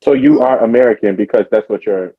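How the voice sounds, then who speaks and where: A man's voice: lowish voice, a little fast, breathy edge, phone-quality sound. A man hosting a casual podcast, probing and pushing back on the answers. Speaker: podcast host. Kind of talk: casual chat.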